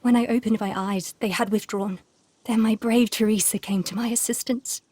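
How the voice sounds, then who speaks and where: Actress as genteel, unhappy widow, dry sound. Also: female voice, fairly high pitch, steady tone, moderate pace, a little mellow, dry recording, lightly acted. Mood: shaken, recounting. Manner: formal, refined, plaintive. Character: genteel, unhappy aristocratic Victorian wife, newly widowed. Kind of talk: video game cutscene acting.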